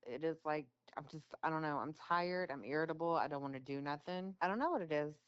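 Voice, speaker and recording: A middle-aged woman co-hosting a podcast. She has a low, smooth voice and complains in a tired, grumbling way, swearing casually. The recording is dry and slightly dull. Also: quick pace, no echo. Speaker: podcast co-host. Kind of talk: casual chat.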